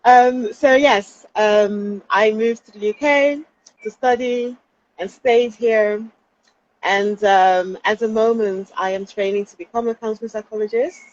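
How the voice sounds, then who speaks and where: A woman's voice: mid-range voice, fairly even intonation, measured pace, lo-fi sound, a little hiss or hum. A young woman from the Netherlands, a trainee counselling psychologist, speaking thoughtfully as an interview guest from outdoors. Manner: thoughtful, with light laughs and hesitations. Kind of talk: casual interview chat. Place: outdoors during lockdown.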